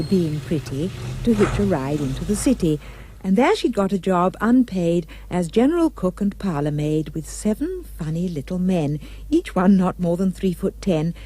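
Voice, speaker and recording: A woman's voice: on the low side, mellow, husky. A woman narrating a rhyming storybook tale for a puppet show with dramatic, emphatic delivery.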